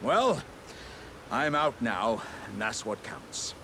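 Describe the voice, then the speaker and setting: A man's voice: on the low side, very animated, slow pace, vocal fry, background noise, heavily acted. A man playing a jovial, polite, boastful living warrior jar in a video game, with hearty, courtly, old-fashioned phrasing. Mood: grateful. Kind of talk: video game character dialogue.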